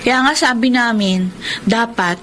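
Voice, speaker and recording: A woman's voice: on the high side, measured pace, fairly bright tone, a little hiss or hum. A woman playing a dutiful, caring Filipino schoolgirl in a radio drama. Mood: eager, caring.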